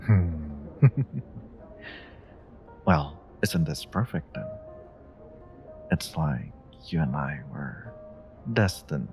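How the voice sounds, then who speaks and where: A man acts out a confident, flirty stranger in a romantic audio roleplay. His low voice has a gravelly creak and swings expressively as he teases and charms the listener in a playful, coy way. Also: lively intonation, a little mellow, faint music behind, heavily acted. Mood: romantic, pleased. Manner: teasing, coy, unhurried, murmuring endearments. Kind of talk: romantic audio roleplay, one-sided dialogue addressed to the listener.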